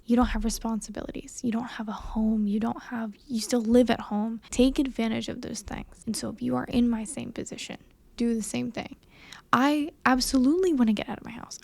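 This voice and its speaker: Young woman, high creaky voice. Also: a little mellow. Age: early 20s. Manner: earnest, self-conscious, conversational. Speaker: vlogger, young filmmaker. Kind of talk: candid casual monologue to camera.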